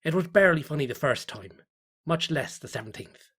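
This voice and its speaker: A man's voice: fairly high pitch, lively intonation, brisk, a bit bright, husky, pitched above the performer's own voice. A man voicing a grumpy, weary small-town fisherman, reading his sardonic diary with dry grumbling and swearing. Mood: exasperated.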